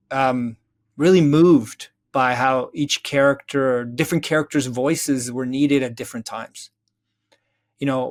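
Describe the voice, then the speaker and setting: A middle-aged man talking reflectively as a podcast guest, earnest, building his points in emphatic, repeated phrases. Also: mid-range voice. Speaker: guest, children's story author. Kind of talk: reflective podcast interview conversation.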